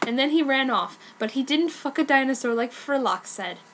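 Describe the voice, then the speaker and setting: High-voiced woman reading fan fiction, noisy recording. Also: animated, moderate pace, fairly bright tone. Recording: noticeable background noise, amateur recording.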